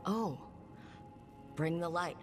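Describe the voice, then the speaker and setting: A young rebel adventurer in a fantasy game, earnest and plain-spoken with a wry edge. The sound is reverberant, with music behind. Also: female voice, lowish voice, slow pace. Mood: wry, wary. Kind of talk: natural game dialogue. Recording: roomy reverb, music underneath.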